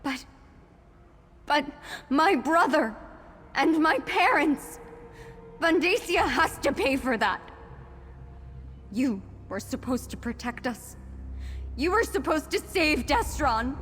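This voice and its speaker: A woman's voice: sits high, animated, slow pace, pauses often, a bit bright, heavily acted. An actress playing a fierce, reckless young woman in a video game, impassioned and defiant in her grief. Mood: vengeful, desperate. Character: vengeful grieving young sister, fierce and reckless. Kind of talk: video game character acting. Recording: reverberant hall, game music behind.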